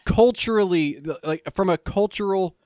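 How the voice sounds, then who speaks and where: A man hosting a podcast, talking casually in a rambling, halting way with many restarts. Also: high voice, darkish tone, dry recording, clean studio sound. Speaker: podcast host. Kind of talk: casual interview conversation.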